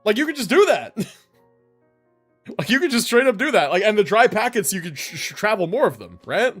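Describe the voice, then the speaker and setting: Animated, high-pitched American male streamer. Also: brisk, slightly rough, faint music behind. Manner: animated, slangy, jokey. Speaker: live streamer. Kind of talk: casual live game stream chat.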